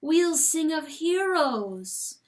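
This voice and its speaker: A woman voicing a sinister, mock-solemn disembodied worm, speaking in a slow, drawn-out singsong for a storybook. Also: high voice, big pitch swings, pitched above the performer's own voice, full character voice.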